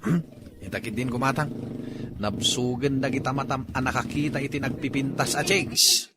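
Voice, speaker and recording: A man's voice: mid-range voice, fairly even intonation, normal speed, heavy background noise, natural voice. A man with an Ilocano accent playing a mischievous older husband who likes drink and women, in an amateur comedy drama. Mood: sly, chummy. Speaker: actor in comedy skit.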